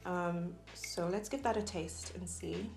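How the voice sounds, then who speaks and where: A woman's voice: lowish voice, steady tone, a little slow, darkish tone, reverberant. A young woman from Nigeria chatting casually while she eats, with plenty of ums. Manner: chatty, eating between lines, many ums. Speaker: food vlogger. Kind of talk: casual mukbang chat.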